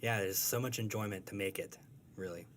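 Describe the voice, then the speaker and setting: Man, fairly low pitch, casual interview guest, joking, self-deprecating, halting delivery, faint noise behind. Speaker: guest, comic strip artist.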